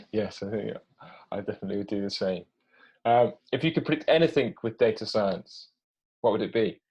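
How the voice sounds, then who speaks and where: A man's voice: fairly low pitch, normal speed, long pauses, darkish tone, breathy edge, muffled recording. A man speaking as an interview host in a conversational style.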